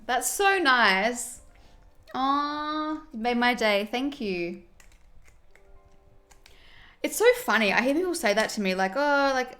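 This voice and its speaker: Chatty woman streamer doing her makeup. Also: medium pitch. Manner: chatty, reads viewer comments aloud and reacts warmly. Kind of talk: casual livestream chat while doing makeup.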